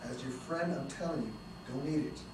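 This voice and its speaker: A man's voice: medium pitch, brisk, noisy background, close to an everyday voice. An actor playing an earnest male vice cop who takes his cases to heart and teases his partner. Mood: teasing. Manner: earnest, teasing. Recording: echoey room picked up from a distance.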